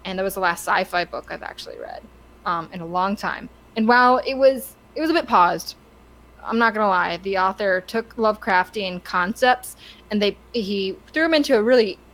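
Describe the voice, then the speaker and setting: Woman on a podcast talking casual and opinionated, stumbles and restarts a lot, normal mid pitch. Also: fairly bright tone, rough recording. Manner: halting, stammers and restarts often. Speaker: podcast co-host.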